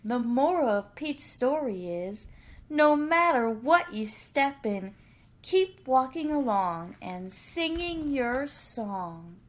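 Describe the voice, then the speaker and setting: A woman reading a picture book aloud to preschool children in an exaggerated, drawn-out way, putting questions to young listeners. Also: high-pitched, expressive.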